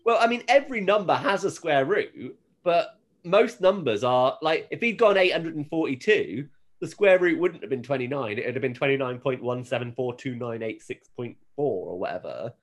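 A man's voice: medium pitch, animated, normal speed. A British man chatting casually as a podcast co-host. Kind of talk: casual chat.

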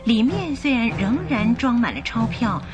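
A woman narrating a radio drama in an expressive, suspenseful storytelling style. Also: a little high, moderate pace. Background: music.

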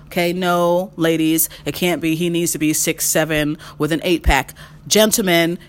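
Emphatic woman in her thirties giving advice. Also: a little hiss or hum. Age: 30s. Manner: emphatic, repeats key phrases, calls listeners honey; animated swings in pitch. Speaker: podcast host. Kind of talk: solo advice monologue.

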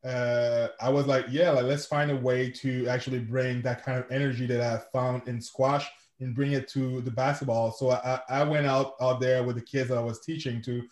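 A man's voice: mid-range voice, level tone, normal speed, few pauses, darkish tone, roomy sound. A man from Quebec City with a Québécois French accent, French as his first language, talking casually as an interview guest with many restarts. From Quebec City, Canada. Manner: rambling, many restarts and fillers. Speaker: guest, sport founder and coach.

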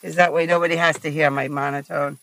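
Hoarse, laughing New passenger. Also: male voice, fairly high pitch, sharp tone, poor audio. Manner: laughs often, chatty. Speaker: rideshare passenger. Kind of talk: casual chat. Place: inside a car.

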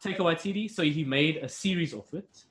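A man's voice: on the high side, brisk, a bit bright. A young man chatting casually as a podcast co-host, rambling with restarts. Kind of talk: casual chat. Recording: echoey room.

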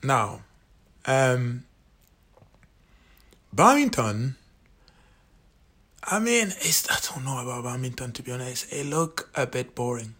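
A man from Cameroon with a Cameroonian accent, talking casually through a countdown list, animated and full of fillers and restarts. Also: mid-range voice, slow, long pauses, husky. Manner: animated, repeats himself, many fillers. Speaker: vlogger.

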